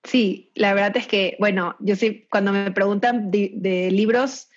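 Mexican woman guest, mid-pitched, casual and chatty, muffled call audio. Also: steady tone, measured pace. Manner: chatty, with frequent restarts and laughs. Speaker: guest recommending children's books. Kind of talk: casual chat.

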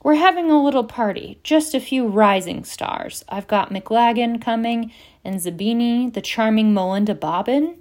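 A woman voicing a stout, genial old professor who booms jovially, in an audiobook. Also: normal speed, darkish tone, close to an everyday voice. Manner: booming, jovial. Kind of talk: audiobook character reading.

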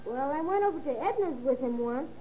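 A woman's voice: high voice, expressive, a little fast. A woman playing a cheeky, eager little American boy who talks in pestering slang in an old-time radio drama. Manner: pestering, slangy childlike talk. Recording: muffled, noisy old radio recording.

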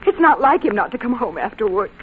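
A woman's voice: fairly high pitch, sing-song, fast. A woman playing a worried, pleading wife in an old-time radio drama, earnest and anxious. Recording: slightly noisy old-time radio recording.

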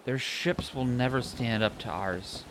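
A man's voice: mid-range voice, a little slow, noisy background, natural voice. A man voicing a young shipwright who is feisty, blunt and proud, with a snappy, opinionated manner. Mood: animated, scornful.

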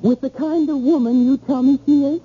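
An actress playing a wounded, determined middle-aged wife, pleading emphatically and articulately in an old-time radio drama. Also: female voice, sits high, steady tone, a little fast, lightly acted. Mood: disbelieving, pleading. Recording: muffled, noisy old radio recording.

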